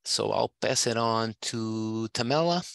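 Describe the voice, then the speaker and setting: A middle-aged man from Portugal, a co-op consultancy CEO, introducing himself on a video call through a headset, hesitant with many pauses. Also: fairly low pitch, gravelly, fairly bright tone, no echo, clean studio sound.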